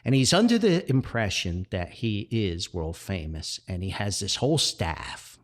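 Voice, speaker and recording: A man's voice: fairly low pitch, sing-song, measured pace. A man hosting a podcast, telling personal stories in a casual, folksy, enthusiastic and chatty way. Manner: folksy and chatty, addresses listeners as friends. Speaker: podcast host and writer.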